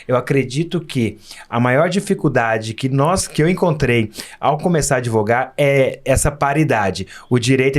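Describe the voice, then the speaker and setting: A Brazilian man with a mid-pitched voice talks as an interview guest. He tells anecdotes earnestly and casually, repeating phrases for emphasis. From Minas Gerais, Brazil. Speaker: podcast guest, lawyer.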